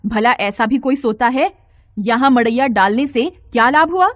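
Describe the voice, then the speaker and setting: A woman's voice: a little high, expressive, moderate pace, no echo, studio quality, natural voice. A woman voicing an exasperated farmer's wife in a story, scolding with reproachful questions.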